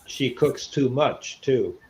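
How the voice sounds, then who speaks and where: A man's voice: medium pitch, lively intonation, slow pace, a little mellow, low-quality recording. An older man chatting casually and reminiscing in a plain-spoken way. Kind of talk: casual chat.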